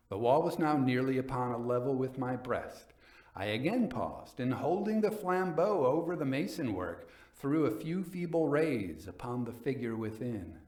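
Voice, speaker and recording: A man giving a dramatic reading as a coldly vengeful nobleman recounting his murder in a gothic tale, theatrical, deliberate and emphatic. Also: medium pitch, darkish tone, reverberant.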